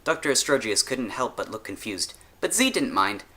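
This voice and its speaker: A man narrates a short story from a script. His voice sits at a medium pitch with a bright, crisp-edged forcefulness. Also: sing-song, normal speed. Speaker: storyteller, author.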